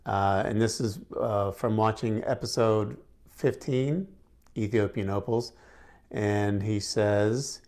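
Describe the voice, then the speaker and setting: Chatty, lower-voiced man teaching gemstones. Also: steady tone, slow, a little mellow. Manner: friendly, chatty, with frequent fillers and restarts. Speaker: gemstone instructor and host. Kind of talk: casual Q&A to camera.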